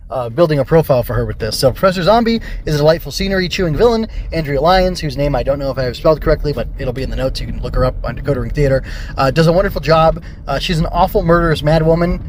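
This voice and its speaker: A man's voice: a little high, noisy background. A man hosting a casual solo review podcast, rambling and thinking aloud as he works through his notes. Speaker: podcast host reviewing an audio drama.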